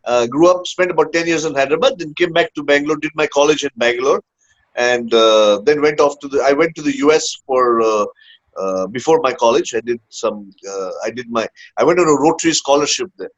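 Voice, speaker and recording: A man's voice: mid-range voice, fairly quick. A man from India, a leadership coach telling his story in a casual interview, full of fillers and "huh?" tags. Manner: rambling, many "uh" fillers and tag "huh?". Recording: dull lo-fi audio.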